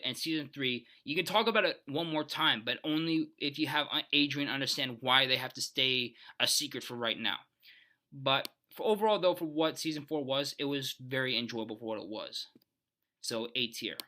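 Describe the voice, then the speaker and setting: A man with a very bright, forceful voice sits a little high in pitch. He hosts a video, talking off the cuff in a rambling, opinionated way. His delivery is casual but emphatic. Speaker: video host. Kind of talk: casual unscripted ranking commentary.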